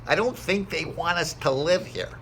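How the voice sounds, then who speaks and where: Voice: mid-range voice, moderate pace, a bit bright, slight background noise, lightly acted. A performer playing a serious, earnest fourteen-year-old boy in an amateur read-aloud of a television script. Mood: grave, insistent.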